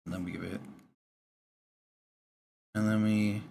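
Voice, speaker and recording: A man's voice: lowish voice, level tone, slow pace, mellow. A man livestreaming in a casual chat, mumbling and thinking aloud to himself as he works. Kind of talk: casual chat while drawing on stream. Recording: clear background noise.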